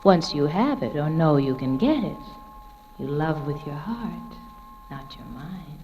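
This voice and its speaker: Slow, low-voiced dream seductress. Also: female voice, lively intonation. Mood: cool, assured. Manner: slow, coaxing, intimate. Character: alluring, self-assured dream seductress, a woman. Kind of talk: 1940s radio drama acting. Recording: muffled old radio recording, faint music.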